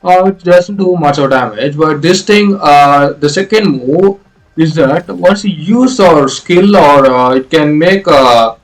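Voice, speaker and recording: A man giving explanatory video game playthrough commentary in a halting, hesitant way. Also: fairly high pitch, low-quality recording. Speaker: gaming video creator.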